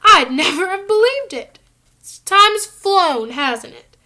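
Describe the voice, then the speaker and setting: A woman's voice: extremely high pitch, animated, slow pace, voice pushed up, heavily acted. A woman putting on a pompous, gushing celebrity wizard. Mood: breezy. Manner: gushing, self-satisfied, loud. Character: vain, pompous celebrity wizard teacher, adult man.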